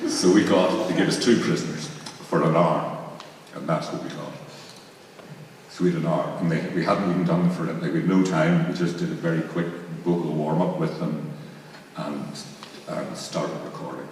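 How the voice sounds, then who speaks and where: Very deep-voiced man in an echoey hall. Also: steady tone, normal speed, a little mellow. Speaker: panelist from a theatre project. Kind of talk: informal spoken recollection to an audience. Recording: echoey hall, distant mic, faint noise.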